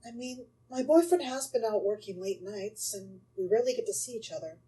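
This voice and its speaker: A woman's voice: medium pitch, brisk, warm tone, distant mic, natural voice, low-quality recording. A woman acting an anxious, pleading young mother haunted by a ghost in an audio drama. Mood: uneasy, hurt.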